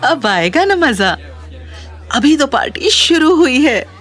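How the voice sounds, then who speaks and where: A woman's voice: high voice, sing-song, slow, fairly bright tone, faint music behind, some chatter behind, exaggerated voice. Pushy, carefree young party girl played by an actress. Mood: excited, carefree. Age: young adult. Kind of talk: Hindi audio story acting.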